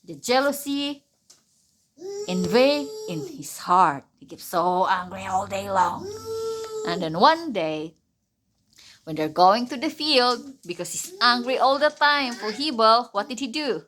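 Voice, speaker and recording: A woman's voice: a little high, animated, unhurried, a bit bright. A woman telling a Bible story to a young child in simple, non-native English phrasing, often asking questions and acting out dialogue. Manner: asks the listener questions, acts out dialogue.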